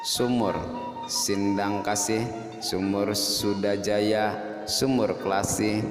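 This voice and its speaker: Echo-drenched low-voiced male storyteller. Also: steady tone, takes it slowly. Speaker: storyteller and host. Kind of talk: scripted folk-tale narration. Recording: echoey long reverb, music behind. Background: music.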